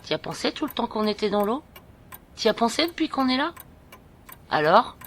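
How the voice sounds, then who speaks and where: A woman's voice: on the high side, very animated, normal speed, fairly bright tone, exaggerated voice. A woman playing a caring, frank and bossy young student friend, coaxing bluntly in informal audio drama dialogue. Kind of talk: amateur French audio fiction dialogue.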